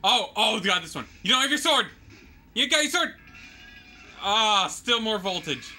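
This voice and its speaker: A man co-hosting a game let's play, joking and teasing the player with animated commentary. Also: high voice, a little slow, faint music behind. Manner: teasing, animated, exclaims and laughs often.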